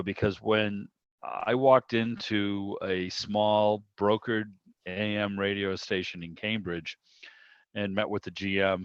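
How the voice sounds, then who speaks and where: A man with a fairly low voice chats casually, wandering from one anecdote to the next. The sound is somewhat dull, like a video call. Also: no room sound. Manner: rambling, anecdotal storytelling. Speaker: radio show producer and interviewer. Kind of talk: casual hobby club chat.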